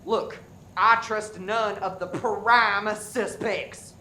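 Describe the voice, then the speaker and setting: A woman's voice: mid-range voice, steady tone, very slow, bright, forceful tone. A woman acting as a petulant, suspicious, short-tempered bereaved husband in a comedic radio play, recorded in an echoey room. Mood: accusing. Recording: roomy echo with faint background noise.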